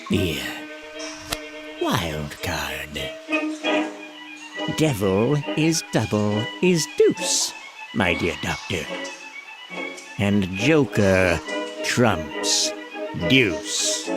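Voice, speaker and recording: A man playing a deranged, gleeful clown villain in a motion comic, theatrical and menacing, halting and taunting over music. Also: low-pitched, sing-song, full character voice. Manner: theatrical, halting, swinging from hushed to shrieking. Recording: dramatic music under the voice.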